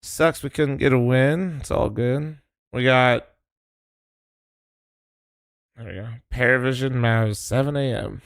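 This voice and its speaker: Young guy streamer, voice going after a long day, gravelly and dry, chill swearing. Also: medium pitch, normal speed, no room sound. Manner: swears casually, laid-back, trash-talky. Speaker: streamer playing with teammates. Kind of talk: casual gaming stream chat.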